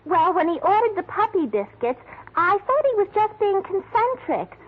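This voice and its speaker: An actress playing a naive, scatterbrained young secretary who earnestly mangles big words in a 1940s radio detective show. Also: female voice, very high-pitched, very animated, moderate pace. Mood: chatty, naive. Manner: earnest, malaprop-prone, naive. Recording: muffled vintage 1940s radio broadcast.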